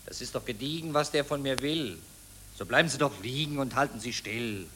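An actor with an Austrian accent playing an indifferent, irritable army doctor, brusque and colloquial, in a satirical radio play. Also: male voice, medium pitch, a little fast, bright, forceful tone, a little hiss or hum, close to an everyday voice. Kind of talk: satirical radio drama in verse.

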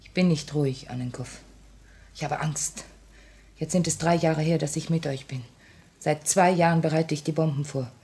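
An actress in a 1950s German radio drama playing an anxious but composed female revolutionary, speaking in an earnest, measured, solemn way. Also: sits low, steady tone, dark tone, slightly rough. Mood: quietly fearful. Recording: old 1950 radio play recording.

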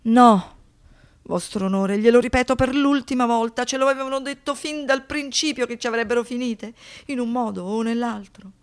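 Woman acting a young female survivor, high-pitched, haunted, defiant, theatrical delivery, poetic radio drama monologue. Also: normal speed, lightly acted. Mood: indignant. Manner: dramatic, swinging between hushed reflection and impassioned outbursts. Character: traumatised young woman survivor of a brutal assault, anguished, defiant.

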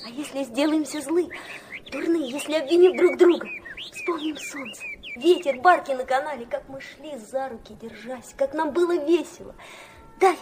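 A woman's voice: high voice, sing-song, normal speed, darkish tone. An actress playing an affectionate, impulsive young sister in a radio drama, with a girlish, exclamatory way of talking. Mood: tender, dreamy.

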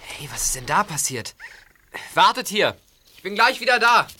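A man's voice: sits high, big pitch swings, normal speed, pushed and bright, a little hiss or hum, full character voice. A male actor in a German audio drama playing a bold, hot-headed teenage boy who leads his friends.

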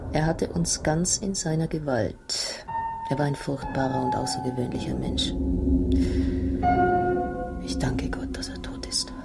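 Low-voiced, emotional young Italian wife. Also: female voice. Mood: fearful, awed. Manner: halting, emotional, dramatic storytelling. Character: young Italian wife recounting her past, fearful and passionate. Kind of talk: radio drama acting, dramatic monologue.

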